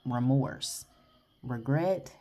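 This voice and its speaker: A woman's voice: extremely low pitch, drawn-out words, warm tone, a little hiss or hum. A woman tarot reader, blunt and chatty, giving a rambling casual reading outdoors while congested and sniffly. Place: outdoors in the early morning.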